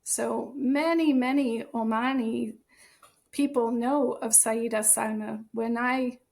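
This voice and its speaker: An American woman, a guest on an interview podcast, answering in a thoughtful, measured way. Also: on the high side, steady tone, vocal fry. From born in Germany, raised in the United States. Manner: thoughtful, measured, with occasional soft laughs. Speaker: guest, author and translator.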